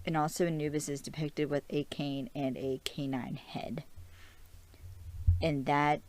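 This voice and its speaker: A woman with a low voice hosts a casual fan recap of a TV episode. She rambles in a friendly way, leaning on fillers and breaking into small giggles. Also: flat delivery, a little slow, darkish tone. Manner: chatty, filler-heavy, giggly. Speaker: podcast host.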